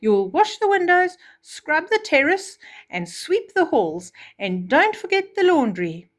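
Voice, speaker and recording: Cold-hearted, commanding storybook stepmother, acted by a female reader, raised pitch, sly and imperious. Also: very animated, unhurried. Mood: stern, ordering. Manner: commanding, emphatic.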